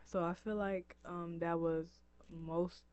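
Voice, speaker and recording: A young woman with a dry, scratchy throat chats to her camera in an unhurried, meandering way, filling pauses with ums and likes. The sound is dull and muffled. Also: fairly low pitch, flat delivery, a little mellow, smooth. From upstate New York. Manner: rambling, lots of ums and likes. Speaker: vlogger. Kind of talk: casual chatty vlog. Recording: dull lo-fi recording.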